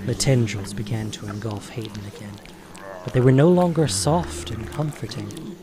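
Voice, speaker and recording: A man's voice: mid-range voice, moderate pace, very noisy. A man narrating an audio drama, reading scripted prose in a dramatic storytelling style.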